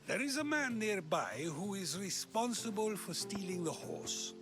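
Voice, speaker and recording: An actor playing a wry, talkative philosopher of ancient Greece who probes with measured questions in a video game. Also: male voice, sits high, sing-song, a bit bright, heavily acted. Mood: musing, inquisitive. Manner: inquisitive, measured, rhetorical questioning. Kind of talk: video game cutscene acting. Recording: music behind the voice.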